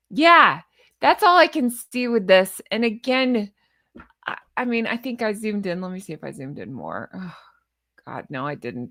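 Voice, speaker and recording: A woman's voice: high-pitched, fairly bright tone, no room sound, clean studio sound. A woman hosting casual, unscripted commentary on social media posts, chatty and rambling, with a sarcastic, mocking, exasperated manner. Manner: chatty, rambling, often breaking off to laugh at her own jokes. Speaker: commentary show host.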